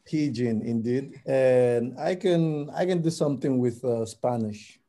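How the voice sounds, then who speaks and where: Young guy from nigeria, yoruba native, super mellow dark voice, just chatting as a guest. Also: mid-range voice, measured pace. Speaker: interview guest. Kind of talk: casual chat.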